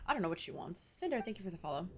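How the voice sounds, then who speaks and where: A young adult woman giving casual live game commentary in a slangy, expressive, giggly way. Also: fairly low pitch, racing, a little hiss or hum. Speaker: game streamer.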